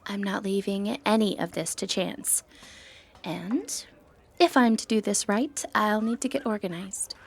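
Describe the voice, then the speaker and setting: A woman's voice: mid-range voice, measured pace, vocal fry, slightly rough. A woman acting a sly, flirtatious thief in a fantasy ASMR roleplay, with a teasing, confident, wry way of talking.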